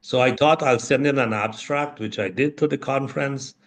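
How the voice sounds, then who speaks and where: An older man from Guyana, an interview guest speaking in a measured, thoughtful way with many pauses. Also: medium pitch, a bit bright. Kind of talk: reflective interview talk.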